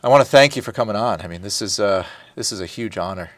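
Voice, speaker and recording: A man's voice: fairly low pitch, fast talker, slight background noise. A man from New Jersey hosting a TV health interview as a doctor, talking in a chatty, friendly way with frequent restarts. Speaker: doctor and talk show host.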